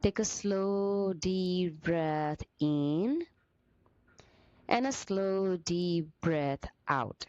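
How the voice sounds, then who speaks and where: Slow-paced woman guiding meditation. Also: fairly low pitch, no room sound, highs cut off. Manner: gentle, soothing, deliberate phrasing with short pauses. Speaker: meditation teacher.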